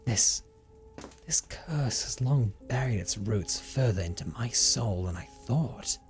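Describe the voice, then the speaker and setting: A man's voice: on the low side, expressive, soft background music. A man playing a brooding, possessive vampire recluse in an audio roleplay monologue, with formal, theatrical phrasing and a teasing streak. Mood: menacing, hungry. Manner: formal, theatrical, deliberate phrasing with condescending endearments. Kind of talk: dramatic first-person audio roleplay monologue.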